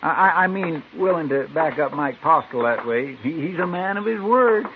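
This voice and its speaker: Folksy, creaky American western sidekick. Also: male voice, on the high side, animated, moderate pace. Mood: admiring. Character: folksy, talkative, loyal marshal's sidekick. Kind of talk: 1950s radio western acting. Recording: noisy, tinny old radio recording.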